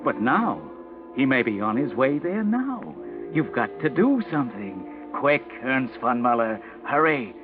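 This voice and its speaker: A man's voice: medium pitch, very animated, unhurried. An actor playing a taunting, all-knowing narrator of a 1940s radio crime story, addressing the listener as the guilty man in a mocking, ominous way. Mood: urgent, needling. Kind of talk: 1940s radio drama narration, second person. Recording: thin, phone-like old radio recording. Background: music.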